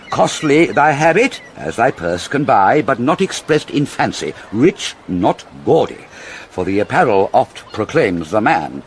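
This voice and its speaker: A man's voice: fairly low pitch, slight background noise, exaggerated voice. An actor with a British accent playing a pompous, fussy older father and counsellor, lecturing in classical Shakespearean style. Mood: earnest, advising. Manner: declamatory, sententious, lecturing.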